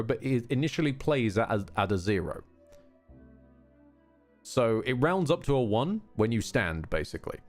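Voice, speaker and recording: A male live streamer with an animated, swinging pitch talks casually through a game. He grumbles wryly, swears freely and often breaks off his own sentences, with music playing faintly behind him. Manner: sweary, self-interrupting, wry grumbling.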